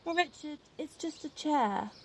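A woman's voice: high voice, expressive, measured pace, a little hiss or hum, poor audio. A woman in an audio play acting an outspoken, exasperated old flame, forthright and sardonic. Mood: frank, coaxing.

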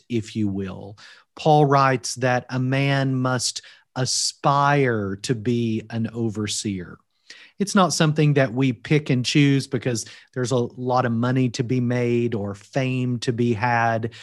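A man with a Kentucky accent talks in an earnest, measured way, leaning on key words like a preacher. His voice sits in a middle pitch. The recording is dry, with no room echo. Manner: earnest, deliberate, preacherly emphasis. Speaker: guest, seminary teacher and preacher. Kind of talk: measured podcast interview. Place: recorded from Wales.

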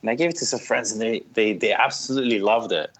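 A man in his thirties from Switzerland, a guest telling relaxed stories in an interview, with fillers and laughter along the way. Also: fairly low pitch, quick pace, husky. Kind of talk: casual interview.

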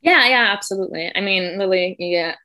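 A woman's voice: mid-range voice, a little fast, pushed and bright. A woman from Germany, a teacher telling a story as a podcast guest in a chatty way, with lots of fillers and self-corrections. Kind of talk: casual storytelling.

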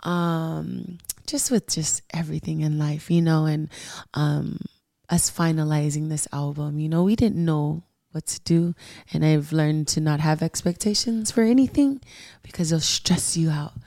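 A woman's voice: deep voice, steady tone, unhurried, gravelly, warm tone, dry recording, clean studio sound. A woman guest on a podcast, chatting in an effusive, rambling way with many fillers. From Southern California. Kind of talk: casual podcast chat. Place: podcast studio.

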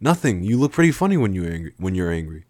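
A man's voice: medium pitch, huge pitch swings, quick pace, dry recording, clean studio sound, voice pushed up. Man putting on a lighter-voiced, teasing young woman. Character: playful, cunning young woman, teasing and giggly.

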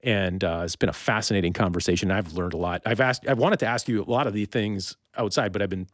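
A middle-aged North American man with a low voice, recorded dry and close to the mic. He speaks as a podcast host in relaxed everyday conversation with a guest. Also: fast, dry recording. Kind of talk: casual conversational interview.

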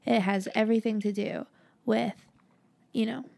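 A young woman co-hosting a casual podcast chat, hoarse from losing her voice, rambling with fillers and giggles. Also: on the high side, fairly even intonation, moderate pace, darkish tone, no room sound. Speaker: podcast co-host.

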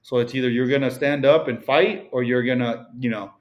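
A man's voice: mid-range voice, fairly even intonation, a little fast, muffled recording. Mexican-accented man, rambling and candid. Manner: rambling, many fillers and restarts. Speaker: guest, real estate broker. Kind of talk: casual interview talk.

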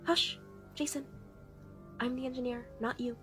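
Sydney, a woman as a high-voiced condescending engineer. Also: fairly even intonation, unhurried, sharp tone, soft background music. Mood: exasperated. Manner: condescending, technical, exasperated. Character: Sydney, condescending, impatient female chief engineer. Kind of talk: science fiction audio drama acting.

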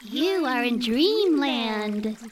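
Woman as mysterious small elder creature, slow, animated intonation, audio theater, faint watery noise. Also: a little high.

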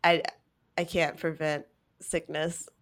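A woman's voice: deep voice, level tone, takes it slowly. A woman co-hosting a podcast in casual chat, sounding stuffy from a cold and talking in a chatty, storytelling way full of "like". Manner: chatty, storytelling, leans on "like" a lot. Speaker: podcast co-host.

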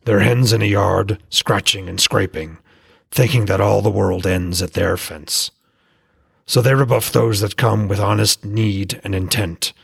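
A proud, fearless old war god, voiced by a man, haughty and sneering. His voice is deep with a gravelly edge and a husky grain, recorded close and dry. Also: a little slow, no room sound, exaggerated voice. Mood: scornful. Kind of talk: audiobook character voice.

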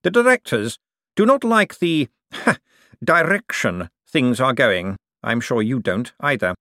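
Smug, moneyed male bank director for an audiobook, read by a male narrator: suave menace, pitched up, very lively intonation. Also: no echo, clean studio sound. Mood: smooth, pressing. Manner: suave, condescending, drawn-out hints. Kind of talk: audiobook character dialogue.

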